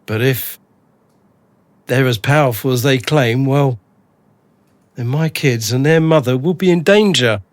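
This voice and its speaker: An actor as an anxious, bewildered ordinary bloke. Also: male voice, medium pitch, unhurried, pauses often, dry recording, close to an everyday voice. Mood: worried, agitated. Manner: agitated, blunt, colloquial. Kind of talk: radio drama acting.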